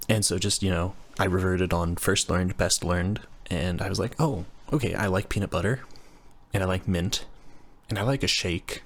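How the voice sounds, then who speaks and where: Deep-voiced nerdy, stammering young guy, acted by a man. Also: normal speed. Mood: sheepish rambling. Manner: stammering, rambling, self-deprecating. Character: nerdy, flustered young male engineering student roommate. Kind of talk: intimate roleplay audio, casual scripted chat.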